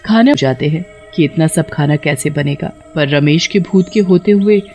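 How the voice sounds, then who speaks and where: A man's voice: a little high, moderate pace, a little mellow. A man narrating a scripted story as a storyteller. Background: music.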